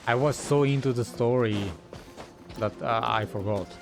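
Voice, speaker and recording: A man's voice: medium pitch, moderate pace, creaky. A man giving casual, informal reactions while playing a video game, with muttered asides. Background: faint game music.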